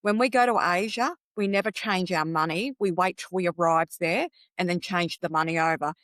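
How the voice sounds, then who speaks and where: A woman's voice: on the low side, moderate pace, fairly bright tone, dry recording. A woman with an Australian accent, chatting as a podcast guest and giving practical advice in a conversational way. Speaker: podcast guest, travel coach.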